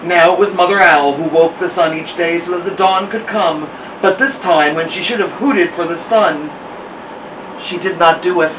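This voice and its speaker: A man hosting storytime, reading a children's picture book with expressive, deliberate phrasing. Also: a little high, muffled recording. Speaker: storytime host and reader. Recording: roomy, distant mic, noisy hum.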